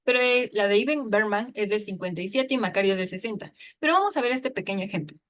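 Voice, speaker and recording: A woman's voice: mid-range voice, lively intonation, fast talker, a bit bright. Chatty woman giving a talk. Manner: chatty, with frequent fillers and asides. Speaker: lecturer. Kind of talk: informal online talk on storytelling.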